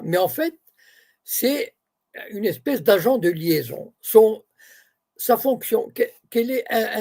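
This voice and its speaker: Gravelly husky man, native Arabic speaker. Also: high-pitched, a little mellow. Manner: explains point by point, with many restarts. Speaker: guest. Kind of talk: answering questions in a live interview.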